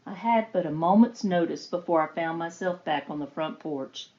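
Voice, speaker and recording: A woman's voice: fairly low pitch, normal speed, a little mellow, muffled recording, close to an everyday voice. A woman playing an earnest, determined young niece reading out her letter in a dramatic, pleading way. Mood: wondering. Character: earnest, determined young niece recounting strange time travel. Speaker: voice actor in a fiction podcast.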